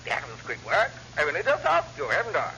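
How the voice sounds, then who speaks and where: An actor playing a dithering, scatterbrained government secretary with a chirpy, plummy upper-class English voice, in a classic radio sitcom. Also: male voice, a little high, wildly swooping pitch, fairly quick, creaky, sharp tone, full character voice. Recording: muffled, hissy old radio recording.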